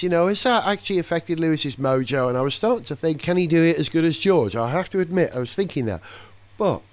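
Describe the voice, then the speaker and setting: A man hosting a podcast, playful and theatrical, his voice slightly husky and tired after a busy weekend. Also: fairly high pitch, no room sound. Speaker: podcast host. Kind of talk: casual chat and comic news segment.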